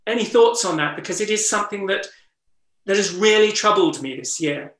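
A man with a fairly high, bright, ringing voice talks earnestly and reflectively over a video call, his voice a little strained from disuse. Room echo hangs around his words. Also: animated, brisk. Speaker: interviewer and wildlife trust ambassador. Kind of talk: webinar conversation. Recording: roomy echo.

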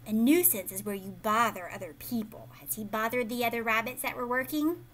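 A woman in her thirties reading a picture book aloud to children in an expressive, explanatory way, pausing to ask questions. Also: a little high, slight background noise. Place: living room at home, indoors.

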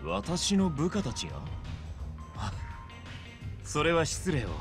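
An actor playing a smug, suave young male gangster boss in a video game cutscene, with smooth, polite, theatrical speech. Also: on the high side, huge pitch swings, slow pace, over music, exaggerated voice. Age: young adult.

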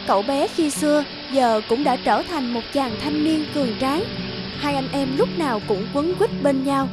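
A woman giving calm scripted narration for a cartoon fairy tale. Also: high voice, normal speed, over loud music.

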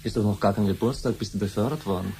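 A man's voice: lowish voice, fast, warm tone, close to an everyday voice. A man acting a defiant, flippant teenage son in a 1970s German radio drama, with a dismissive way of talking. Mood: wary. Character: Emanuel, defiant music-loving teenage son. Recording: slightly noisy 1970s radio recording with hum.

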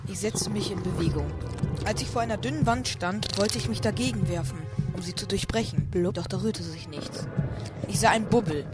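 A man's voice: sits high, moderate pace. A man narrating a parody audio drama in the first person, as scripted storytelling. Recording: music under the voice.

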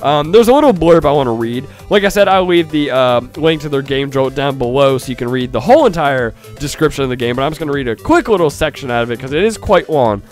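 Excitable young man with a stuffy cold. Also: mid-range voice, fast, hardly any pauses, faint music behind. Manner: excitable, exclaims and shouts at the game. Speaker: gaming YouTuber. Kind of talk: excited let's-play commentary.

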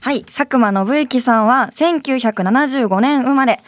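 A woman's voice: sits high, measured pace. A woman presenting politely and formally as the assistant on a radio show.

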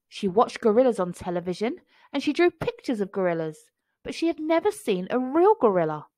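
A woman narrating a children's picture book expressively, phrasing clearly for young listeners. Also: mid-range voice, dry recording.

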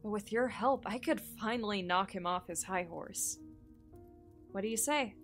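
A woman's voice: mid-range voice, normal speed, soft background music, natural voice. A woman playing a bold, ambitious reporter in an audio drama, speaking directly and persuasively with confidence. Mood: curious, insistent. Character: bold, shrewd, ambitious female entertainment reporter.

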